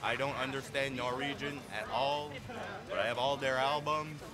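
A man in his thirties from Canada, talking with awestruck enthusiasm in an excited street interview as a fan. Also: medium pitch, moderate pace. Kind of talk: excited vox pop interview. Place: outdoor city plaza. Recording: loud music behind street interview. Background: loud music.

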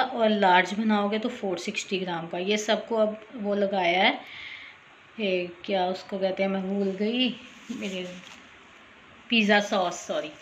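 A woman's voice: mid-range voice, a little hiss or hum. Chatty woman narrating a recipe. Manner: chatty, repeats "theek hai", addresses viewers directly. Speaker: cooking channel host. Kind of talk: casual voice-over cooking tutorial.

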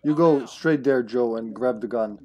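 A man in his thirties streaming a co-op game from home on a headset, giving quick directions to teammates and swearing now and then. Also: medium pitch, dull audio, crowd noise. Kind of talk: casual gaming chat. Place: home room.

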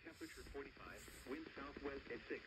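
Guy reading a weather forecast on a little radio, mid pitch, measured, lots of static. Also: expressive. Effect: heard through small weather radio speakers. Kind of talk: scripted weather bulletin reading. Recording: staticky radio reception through small speaker.

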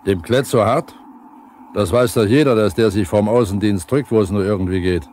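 An actor playing a gruff, reproachful older foreman in a radio play, speaking colloquially and with scorn. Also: on the low side, normal speed, a little mellow, lightly acted. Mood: scornful, reproachful. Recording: faintly noisy 1970s radio play recording.

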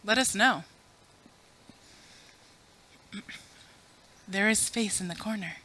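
Bright-voiced woman joking through a talk. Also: mid-range voice, slow pace, a little hiss or hum. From Afghanistan. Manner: chatty, self-deprecating, joking asides. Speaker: conference speaker. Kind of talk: conference talk with audience polls. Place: conference room with audience. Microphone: podium mic.

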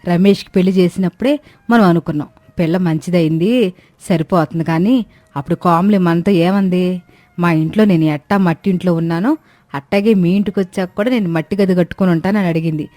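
Woman acting fretful mother-in-law, rustic village talk, lowish pitch, dry sound, cartoon tale. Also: a little fast, mellow, dry recording, close to an everyday voice. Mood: bewildered, complaining. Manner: rustic colloquial speech. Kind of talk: animated moral-story voice acting.

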